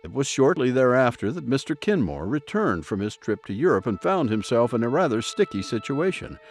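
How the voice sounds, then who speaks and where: A man's voice: medium pitch, very animated, fairly quick. A man narrating an audio drama in a suspenseful storytelling manner with dramatic emphasis. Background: music soundtrack.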